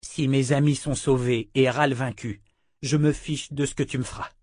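A man's voice: mid-range voice, fairly even intonation, normal speed, darkish tone, dry recording, voice pushed up, close to an everyday voice. Man as a bold, defiant hero, dry sound. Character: bold, confident male hero bargaining. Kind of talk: audiobook character voice.

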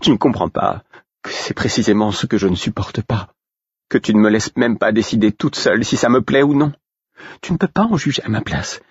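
A man's voice: deep voice, steady tone, normal speed, slightly rough, no echo, muffled recording. A man voicing a furious, wounded young woman in an audiobook, indignant, scornful and biting. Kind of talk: audiobook character acting.

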